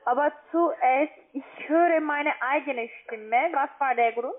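Woman, non-native speaker, polite call-center agent, slightly high voice, tinny phone sound. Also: takes it slowly. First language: not German. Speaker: call-center account manager. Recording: tinny narrow phone line.

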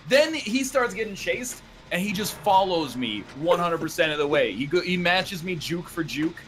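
A man's voice: sits high, expressive, normal speed, fairly bright tone, a little hiss or hum. A man bantering on a gaming voice chat, telling stories in a comically aggrieved, ranting way. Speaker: gamer on a group voice chat. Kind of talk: casual banter while playing a video game.

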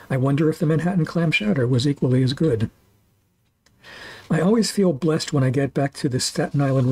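A man's voice: a little high, a little mellow, husky, low-quality recording. A man in his sixties reading a scripted voice-over for a food and walking tour, with dry, wry humor. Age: 60s. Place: voice-over, not recorded on location.

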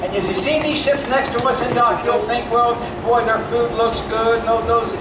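Kentucky comedian on an echoey stage. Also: male voice, very high-pitched, fairly even intonation, normal speed. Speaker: stand-up comedian. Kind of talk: stand-up comedy routine. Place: large theater stage. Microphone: handheld mic, filmed from far off. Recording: echoey theater from far off, loud crowd noise.

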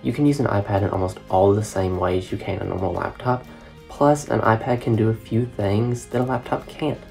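A young man, a college student from Kentucky, giving an earnest, measured testimonial. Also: lowish voice, vocal fry, darkish tone, faint music behind. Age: young adult. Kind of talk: scripted testimonial.

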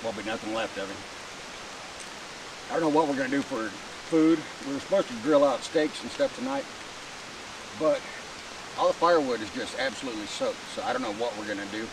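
A relaxed American man rambling through his camping day with dry humor. He sits back from the camera mic, so he sounds a little distant. Steady rain hisses clearly behind him. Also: mid-range voice, fairly quick, pauses often. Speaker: moto camping vlogger. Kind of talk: casual vlog narration to camera. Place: tent and campsite outdoors by a creek in the rain.

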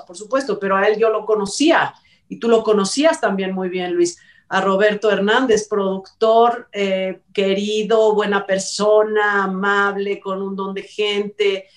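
A middle-aged Mexican woman hosting a conversational entertainment-news talk show over a video call. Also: medium pitch. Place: indoor room, on a video call. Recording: roomy, echoey video-call sound.

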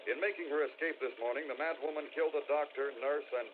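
A man's voice: on the high side, steady tone, quick pace. Serious old timey news announcer guy, radio filter, very thin sound, bit of hiss. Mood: grave, urgent. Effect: car radio filter. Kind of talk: 1940s radio news bulletin. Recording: tinny, faintly noisy old radio.

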